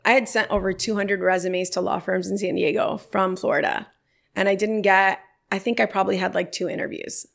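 A woman's voice: mid-range voice, steady tone, a little fast, vocal fry. A woman in her thirties, telling stories in a casual interview and livening them up when quoting people. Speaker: podcast guest.

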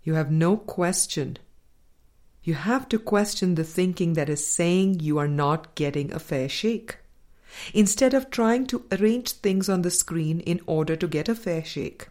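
A woman reading a spiritual self-help audiobook in a measured, deliberate way. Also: on the low side, lively intonation, darkish tone. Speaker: audiobook reader.

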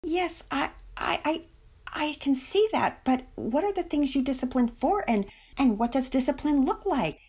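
A woman's voice: mid-range voice, sing-song, normal speed, dark tone, pitched above the performer's own voice. A woman voicing an embarrassed, apologetic young mother in a storybook reading. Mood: pleading, desperate. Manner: hesitant, apologetic. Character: embarrassed, pleading young mother of a willful toddler.

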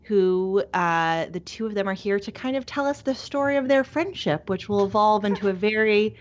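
Woman, 30s, podcast co-host; chatty, trailing restarts; mid pitch, slightly muffled audio. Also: expressive, normal speed. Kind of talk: casual interview chat.

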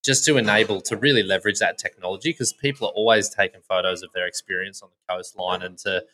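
A man hosting a podcast, asking questions in a relaxed, chatty way with plenty of fillers. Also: lowish voice, quick pace. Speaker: podcast host. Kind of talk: casual podcast interview.

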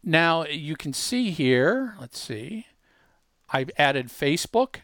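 A man's voice: on the high side, sing-song, slow, fairly bright tone, dry recording, studio quality. A man presenting a casual tutorial, explaining step by step in a conversational way with emphatic asides. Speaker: presenter demonstrating a web tool.

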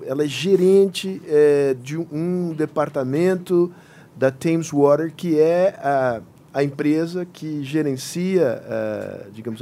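Brazilian host introducing the next speaker, gravelly, kinda higher voice, lots of restarts. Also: male voice, mellow. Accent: Brazilian Portuguese. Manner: halting, with many restarts. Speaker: conference moderator.

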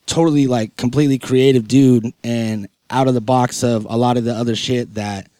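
A man's voice: medium pitch, fairly even intonation, normal speed, dark tone, no echo, studio quality. A man hosting a podcast, chatting in a rambling, slangy and enthusiastic way. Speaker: podcast host. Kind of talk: casual podcast interview chat.

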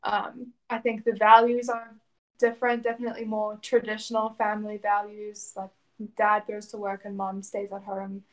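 A woman's voice: medium pitch, completely flat delivery, normal speed, poor audio. A woman in her twenties, born and raised in South Africa with Afrikaans as a first language, answering interview questions about her life. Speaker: interview guest.

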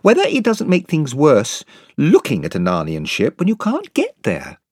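A man acts out an earnest English schoolboy from a storybook, grumbling in Received Pronunciation. The pitch jumps about expressively and the tone is plaintive, with a husky edge. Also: wildly swooping pitch, moderate pace, darkish tone, dry recording, clean studio sound, exaggerated voice. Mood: wistful doubt. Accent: British Received Pronunciation.

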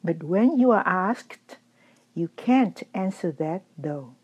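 A woman teacher giving a calm, measured dharma talk, her voice still recovering after being lost. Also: fairly low pitch, breathy edge, rough recording. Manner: calm, measured, gently explanatory. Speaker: Buddhist teacher. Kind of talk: slow reflective dharma talk.

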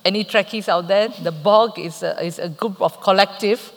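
A woman's voice: mid-range voice, moderate pace. A middle-aged woman with a Malaysian accent, speaking candidly and reflectively on a live conference panel. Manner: candid, reflective, with playful asides. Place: conference hall, on stage. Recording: reverberant hall.

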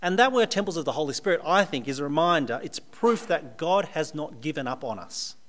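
Man, Australian accent, preacher, sermon, live audience, conversational, dry humor, somewhat high voice. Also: expressive, a little fast, a bit bright, slight background noise.

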